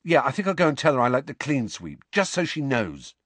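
A man voicing an awkward, excitable teenage boy in an audiobook, easily embarrassed and a bit hesitant. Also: mid-range voice, slow pace, dry recording, voice pushed up, full character voice. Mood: doubtful, then eager. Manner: hesitant, muttering.

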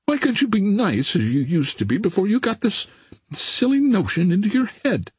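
Man acting as young society lady, petulant, very breathy, swooping high pitch, audiobook drama. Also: huge pitch swings, normal speed, a little mellow, no room sound, exaggerated voice. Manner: sweetly sarcastic, pleading, exclaiming. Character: young society woman, petulant, easily alarmed.

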